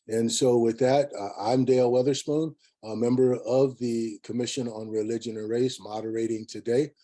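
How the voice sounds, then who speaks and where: A man moderating a webinar, speaking in a halting, measured way as he hosts without a script. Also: mid-range voice, fairly even intonation, mellow. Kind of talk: unscripted hosting.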